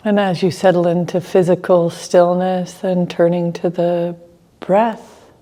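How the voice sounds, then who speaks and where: A woman teaching a guided meditation, speaking gently in short phrases with long pauses between them. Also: fairly low pitch, little pitch movement.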